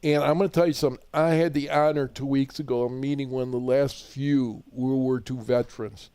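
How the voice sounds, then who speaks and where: A man's voice: mid-range voice, steady tone, brisk, mellow, clean tone, no room sound. A man with a Chicago accent hosting a live call-in radio shopping show, chatty and rambling, often repeating words as he starts a thought.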